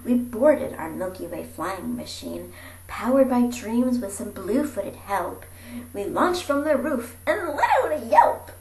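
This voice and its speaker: Lady reading a rhyming kids book, higher voice, really dramatic swings, bit of echo. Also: big pitch swings, measured pace, a little mellow. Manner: theatrical, dropping into breathy whispers and calling out. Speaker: amateur storybook reader. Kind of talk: animated rhyming picture-book read-aloud. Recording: roomy echo with faint background noise.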